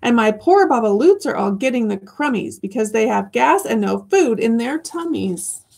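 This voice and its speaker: Woman reader doing a cranky little tree-defending creature for a storybook: indignant, husky and raspy. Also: on the high side, expressive, normal speed, darkish tone, exaggerated voice. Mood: scolding. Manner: scolding, indignant rhyming complaints. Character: grumpy small male forest creature defending trees.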